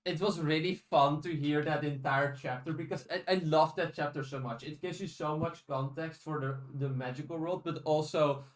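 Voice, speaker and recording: A man with a fairly high voice talks casually as a podcast co-host. He often repeats his first words while working toward a point. The recording has a strong room echo around him. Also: fairly even intonation, moderate pace, few pauses. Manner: hesitant, repeats words as he starts sentences. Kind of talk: casual discussion of audiobooks.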